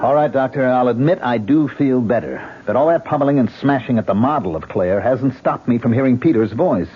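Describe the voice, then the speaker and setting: An actor playing a tormented man who hears ghost voices in an old radio drama, agitated and husky. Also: medium pitch, fairly quick, over music, natural voice. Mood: tense. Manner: agitated, swinging between calm and outbursts. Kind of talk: 1970s radio drama acting. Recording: muffled lo-fi old radio broadcast.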